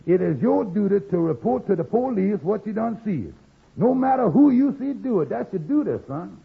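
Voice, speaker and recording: Fatherly moral adviser, high raspy voice, folksy, earnest, old radio comedy, narrow sound. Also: expressive, moderate pace, heavily acted. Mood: earnest, firm. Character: earnest, upright, fatherly friend giving moral advice. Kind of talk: 1950s radio comedy acting. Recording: tinny old radio recording.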